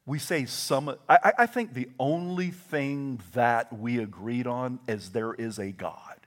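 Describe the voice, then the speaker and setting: A middle-aged man with a Southern American accent preaching a sermon, telling stories with quoted voices and building from hushed asides to shouted proclamations. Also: mid-range voice, unhurried. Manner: animated storytelling with quoted voices.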